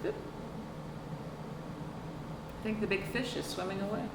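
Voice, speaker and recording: A middle-aged woman hosting a children's storytime, reading aloud with chatty asides, expressive drawn-out emphasis and questions to young listeners. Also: mid-range voice. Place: small indoor room, seated on a couch. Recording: roomy, distant mic with clear background noise.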